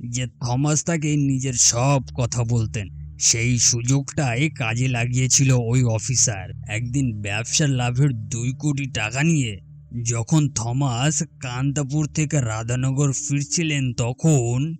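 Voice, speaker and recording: Slow, grave ghostly gentleman, acted by a man. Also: medium pitch, natural voice. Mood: calm, ominous. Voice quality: grave, resonant voice. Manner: slow, formal storytelling. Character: mysterious gentleman ghost of a murdered businessman, grave, calm. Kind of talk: Bengali horror audio story acting.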